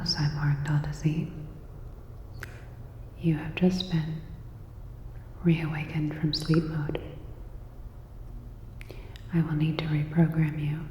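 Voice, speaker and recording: A woman whispering a scripted sci-fi ASMR roleplay as a calm, clinical robot repair technician, soft, breathy and gently instructive. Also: sits low, reverberant, in a large hall, muffled recording, a little hiss or hum.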